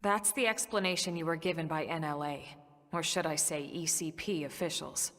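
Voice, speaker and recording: A woman playing a composed, resolute military team leader in a video game, firm and measured. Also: low voice, fairly even intonation, a bit bright. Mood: grave. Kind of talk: dramatic video game cutscene acting. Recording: long reverb, music behind.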